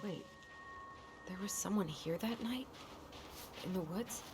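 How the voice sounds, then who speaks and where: A woman's voice: medium pitch, slow pace, over loud music, close to an everyday voice. An actress playing a guarded, reluctant young woman, hurt and wary, in a naturalistic video game cutscene. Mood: wary, puzzled. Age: young adult.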